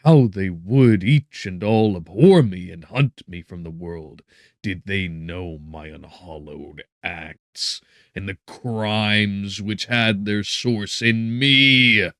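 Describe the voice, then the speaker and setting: A very deep-voiced man narrates a classic horror audiobook. His delivery is very unhurried and theatrical, moving between hushed phrases and emphatic swells. The sound is very dry and close. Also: no echo. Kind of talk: dramatic literary narration of a gothic horror novel.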